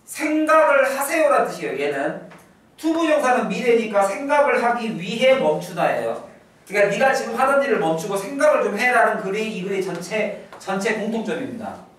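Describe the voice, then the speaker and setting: A male English teacher giving an exam prep lecture, repeating key phrases slowly and drilling his students. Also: high-pitched, a bit bright, very echoey, recorded from a distance, poor audio.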